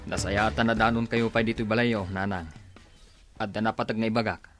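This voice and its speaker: A man's voice: lowish voice, level tone, fairly quick, faint music behind, lightly acted. A man playing a concerned, blunt village father in a radio drama, speaking emphatically. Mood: earnest.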